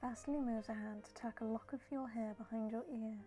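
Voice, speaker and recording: A woman with a slightly croaky voice, hosting a casual game playthrough with commentary and read-aloud text. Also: a little high, fairly even intonation, brisk, dark tone. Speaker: gaming video host. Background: background music.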